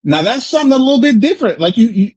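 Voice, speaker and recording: An American man in a casual debate, explaining in an animated way, repeating words and often checking with "right?". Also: very high-pitched, racing, slightly rough, no echo, dull audio. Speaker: discussion participant answering questions.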